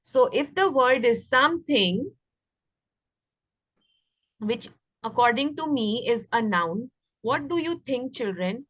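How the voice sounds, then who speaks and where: A woman with an Indian accent teaches a grammar class to children. Her voice sits fairly high, and she explains step by step, often stopping to ask if it is understood. The sound is close and free of room echo. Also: animated, slow, long pauses, a bit bright. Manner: explanatory, checks understanding with frequent questions. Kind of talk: interactive English grammar lesson for children.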